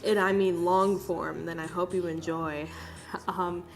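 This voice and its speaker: A woman's voice: fairly low pitch, flat delivery, measured pace, faint music behind. A young woman with a stuffed-up, nasal voice, rambling casually over her art footage with lots of fillers and swearing. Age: young adult. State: congested, nasal. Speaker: art vlogger. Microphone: phone.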